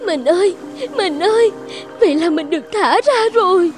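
A woman playing a sorrowful, devoted young wife in an animated fairy tale. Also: very high voice, moderate pace, soft background music. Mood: anxious, relieved.

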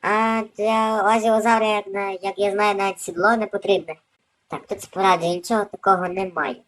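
Woman doing casual gameplay commentary, medium pitch. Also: little pitch movement, moderate pace, fairly bright tone. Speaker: gaming video host.

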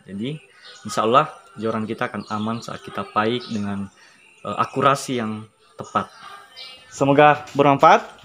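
A man's voice: medium pitch, noisy background. Chatty man filming himself outdoors. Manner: upbeat, chatty, addresses viewers directly. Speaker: fishing gear reviewer. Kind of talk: casual product review vlog. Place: outdoors by a school building. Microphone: handheld phone camera close up.

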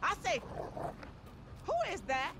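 An American woman playing a sassy, sharp-tongued middle-aged aunt who scolds, in a video game cutscene. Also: very high-pitched, huge pitch swings, slow pace, noisy background, full character voice. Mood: scornful scolding.

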